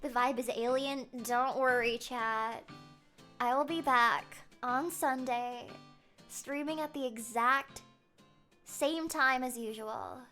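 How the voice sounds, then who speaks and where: A woman in her twenties, chatty and rambling with quick laughs, reading chat questions aloud on a casual live stream. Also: high voice, sharp tone, soft background music.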